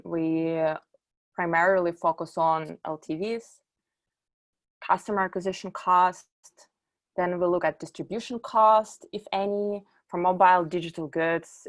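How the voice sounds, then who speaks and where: A middle-aged woman from Ukraine giving a conference presentation, explaining in a measured way and lifting her voice on key words. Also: lowish voice. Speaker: conference speaker, company executive.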